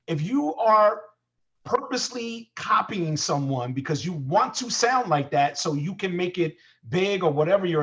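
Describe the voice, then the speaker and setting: A man's voice: high-pitched, fairly bright tone, dull audio. A man talking earnestly in a casual group discussion, making his points about originality with conviction. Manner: earnest, preachy about art. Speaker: panelist.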